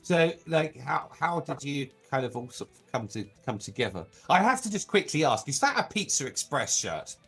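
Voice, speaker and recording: A man's voice: medium pitch, big pitch swings, moderate pace, few pauses, fairly bright tone, slightly rough. A man hosting a casual music interview, who rambles and stammers through his questions with a self-deprecating tone. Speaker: interviewer, show host.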